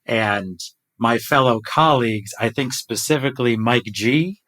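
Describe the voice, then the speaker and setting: A man who works as a polar expedition diver, chatting casually with colleagues in an excitable, animated way. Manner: excitable, animated, jumps up in pitch when enthusiastic. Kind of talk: casual group chat.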